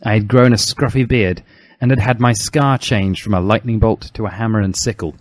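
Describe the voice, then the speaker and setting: A man's voice: on the low side, fairly even intonation, normal speed, dry recording. A man narrating a comic dramatic reading of a first-person story.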